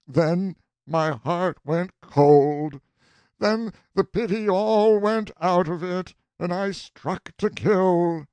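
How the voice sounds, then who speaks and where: Man acting slow, tormented old farmer confessing. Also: high voice, darkish tone, no room sound, full character voice. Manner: impassioned, drawn-out, rustic speech.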